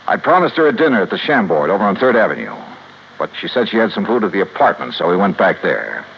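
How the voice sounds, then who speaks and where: An actor playing a grieving, hot-tempered insurance investigator with an American accent, in 1950s radio detective drama style. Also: male voice, sits low, very animated, quick pace, gravelly, slight background noise, exaggerated voice. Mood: earnest pleading. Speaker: lead actor and narrator. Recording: dull vintage radio recording with music.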